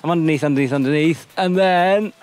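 A man's voice: fairly high pitch, fast talker, a little mellow. A man presenting on location, describing a training cellar and asking questions. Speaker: presenter interviewing a climber.

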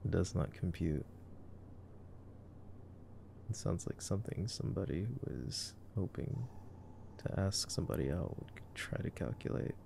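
A young man doing casual boyfriend-roleplay movie commentary for ASMR, in a soft, drowsy murmur with slangy phrasing. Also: low voice, lively intonation, unhurried, gravelly, pauses often, a little hiss or hum. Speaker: ASMR voice performer.

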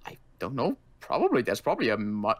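Casting: man as survivor-camp guard for game roleplay, wary yet kind, casual halting talk, very varied pitch. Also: huge pitch swings. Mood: casual, unsure. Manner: casual, halting, hesitant delivery. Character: wary but kindly male armed guard at survivor camp.

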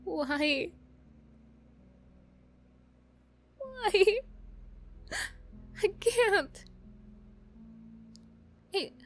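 A woman's voice: high voice, pitched above the performer's own voice. Woman playing young female bully for ASMR roleplay: emotional, blunt, defensive, guilt-ridden, music behind. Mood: anguished, tearful.

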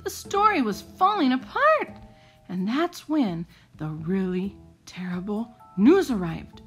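A woman reading a children's picture book aloud in an expressive, theatrical and deliberate way. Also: medium pitch, faint music behind.

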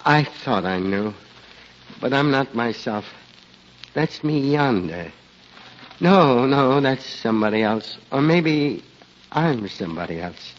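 A man's voice: medium pitch, animated, takes it slowly, long pauses. An actor playing a bewildered, meek old village man in a hesitant 1940s radio drama style. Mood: distressed, bewildered. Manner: hesitant, befuddled, exclaiming. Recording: noisy, muffled 1940s radio recording.

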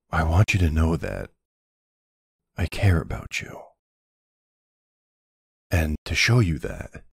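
Man acting a deep gravelly mafia husband. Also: no echo, studio quality, exaggerated voice. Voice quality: gravelly, husky. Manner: soft, unhurried, hesitant pauses. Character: tender mafia boss husband and doting young father. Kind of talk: intimate ASMR roleplay acting.

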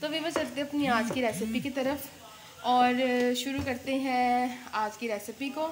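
A woman's voice: high voice, fairly even intonation, unhurried. Chatty young woman hosting a cooking vlog. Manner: chatty, explains step by step to viewers. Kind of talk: casual cooking vlog narration. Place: home kitchen.

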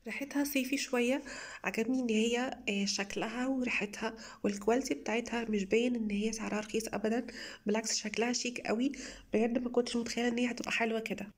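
A young woman with an Egyptian Arabic accent, slightly hoarse from a cold, chatting enthusiastically to viewers as she reviews beauty products at home. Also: on the high side, fairly even intonation, racing. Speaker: beauty vlogger. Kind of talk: casual product haul review.

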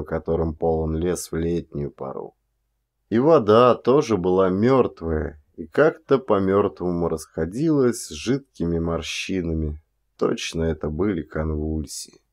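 Guy reading an audiobook, lowish voice, measured actorly storytelling. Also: darkish tone. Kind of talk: literary storytelling narration.

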